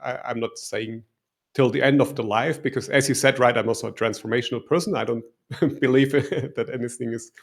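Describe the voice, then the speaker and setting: Gravelly German-accented man, reflective. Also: medium pitch, a little fast. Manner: reflective, hesitant, with restarts and "um"s. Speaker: guest, life coach. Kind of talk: interview answers.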